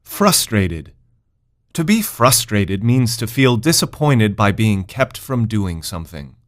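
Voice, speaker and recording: Very slow, low-voiced man teaching words. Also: sing-song. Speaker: vocabulary lesson narrator. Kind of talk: scripted educational narration, word then definition.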